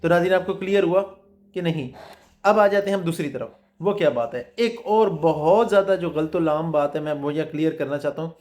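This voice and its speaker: A man's voice: fairly high pitch, fairly quick, darkish tone. A man hosting a health explainer as a food specialist, teaching patiently, asking himself rhetorical questions and repeating his points. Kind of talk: explanatory health talk to camera.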